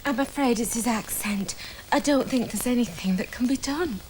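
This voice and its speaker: An actress playing a brisk, dutiful senior hospital nurse in a comedy sketch. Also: female voice, fairly high pitch, a little mellow, a little hiss or hum, lightly acted, rough recording.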